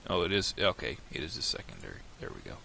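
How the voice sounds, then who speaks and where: Deep gravelly man with a cold. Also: quick pace, a little hiss or hum. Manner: relaxed, rambling, thinks aloud with restarts. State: has a cold, slightly nasal. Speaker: tutorial creator. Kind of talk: casual software tutorial.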